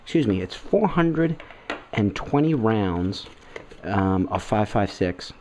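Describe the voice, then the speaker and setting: A man with a head cold and a nasal voice, talking casually as a video host while unboxing, halting often with fillers and clearing his throat. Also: lowish voice, animated, a little mellow, a little hiss or hum.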